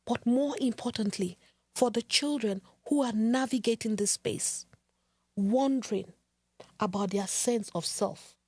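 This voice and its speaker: Woman podcast host, earnest and rhetorical, unhurried delivery, fairly high voice, dry sound. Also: a little mellow, no room sound. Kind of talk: reflective podcast monologue.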